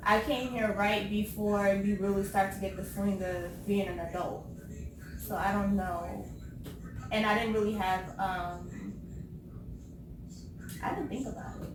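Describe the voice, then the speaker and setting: A woman in her thirties from California, chatting casually on a talk show, laughing often and pausing with ums. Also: medium pitch, steady tone. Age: 30s. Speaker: co-host. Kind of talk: casual chat. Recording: echoey room, far from the mic, background noise.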